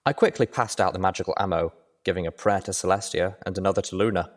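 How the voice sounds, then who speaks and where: Guy reading an adventure story, lowish voice, scripted narration. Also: steady tone, fast talker. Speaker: narrator of a fiction reading show.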